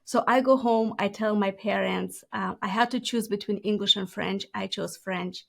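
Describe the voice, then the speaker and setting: A woman's voice: mid-range voice, fairly even intonation, creaky, fairly bright tone. A woman in her thirties from Moldova with a Moldovan accent, a Romanian native speaker telling her story earnestly as an interview guest. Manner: quick, earnest storytelling with light laughs. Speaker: guest entrepreneur. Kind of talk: conversational interview.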